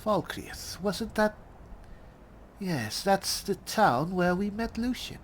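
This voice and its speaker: A woman's voice: medium pitch, lively intonation, takes it slowly. A woman roleplaying aloud through a video game, musing in character in a wry, theatrical way. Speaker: roleplaying game player, host. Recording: faint background noise, game ambience.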